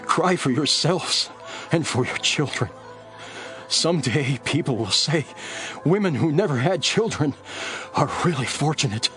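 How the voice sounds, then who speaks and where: An actor playing a calm, sorrowful condemned teacher who speaks in a grave, measured way in a radio drama. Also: male voice, fairly high pitch, soft background music. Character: calm, sorrowful male teacher facing death.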